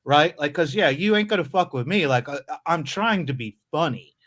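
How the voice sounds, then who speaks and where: Rambling, animated man, slightly high voice. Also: brisk. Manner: rambling, animated, restarts often. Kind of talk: casual group chat.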